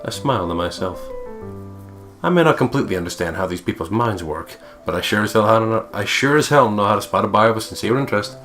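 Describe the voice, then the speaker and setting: A man's voice: lowish voice, lively intonation, fast talker, a bit bright, soft background music. A man doing a joking let's play, narrating a visual novel aloud in a playful, theatrical, sweary way. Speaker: let's player reading the game aloud.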